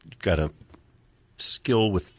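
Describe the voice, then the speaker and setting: A man with a low voice hosts a radio interview. He asks short questions and keeps the conversation moving with quick, encouraging remarks. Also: a bit bright, no echo. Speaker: radio host. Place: radio studio.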